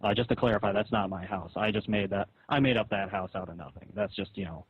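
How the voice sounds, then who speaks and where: A man arguing on a phone call in a halting, repetitive, reproachful way, as a comic artist. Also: lowish voice, noisy background. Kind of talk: argumentative phone conversation. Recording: dull roomy phone call recording.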